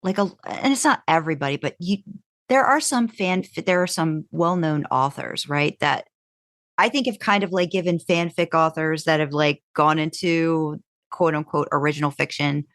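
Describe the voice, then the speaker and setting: A woman's voice: sits low, moderate pace, a bit bright, no room sound, clean studio sound. A woman co-hosting a casual podcast interview, asking long, rambling questions and often murmuring agreement. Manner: rambling questions, frequent backchannel "mm-hmm". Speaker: podcast co-host.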